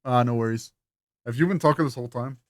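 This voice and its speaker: A man's voice: medium pitch, quick pace, a little hiss or hum. A man streaming a game and chatting casually with friends. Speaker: streamer voicing a game's characters. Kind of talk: casual gaming chat. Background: game music.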